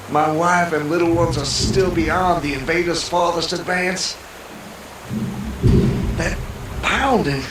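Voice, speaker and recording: An actor playing an anguished condemned husband in a theatrical old-time radio monologue, halting, despairing and melodramatic. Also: male voice, a little high. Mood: frantic anguish. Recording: echoey, distant, heavy rain and thunder noise.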